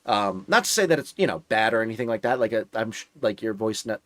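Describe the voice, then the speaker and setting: A man's voice: lowish voice, expressive, quick pace, a bit bright, no room sound. Rambling, slightly nasal young man. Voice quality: a bit nasal. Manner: rambling, many "like" fillers and restarts. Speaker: live streamer. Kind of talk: casual live-stream chat.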